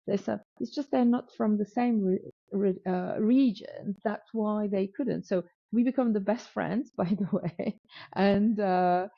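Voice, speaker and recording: A woman's voice: mid-range voice, no room sound. A woman with a French accent, a guest telling a story in a casual interview, with frequent restarts and repeated words as she acts out quoted dialogue. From North Africa. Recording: dull lo-fi sound, dry room.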